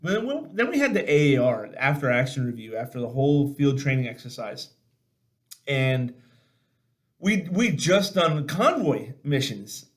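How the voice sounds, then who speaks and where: A man with a medium-pitched voice talks casually as the host of a video chat. He tells army stories in a frank, salty way, animatedly playing out the dialogue as he goes. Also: moderate pace. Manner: animated, blunt, salty-tongued, acting out dialogue. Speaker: podcast host and army veteran.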